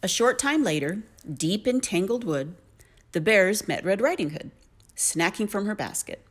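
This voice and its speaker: A woman narrating a children's story in an expressive storytelling manner. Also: medium pitch, unhurried, breathy edge.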